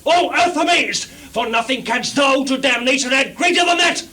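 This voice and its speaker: A jealous, tormented general, forceful and ringing. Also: male voice, very high-pitched. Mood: threatening fury. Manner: declamatory, impassioned, drawn-out oratory. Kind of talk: live Shakespearean stage tragedy. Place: small theatre stage. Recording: noisy live theatre recording, 1980s.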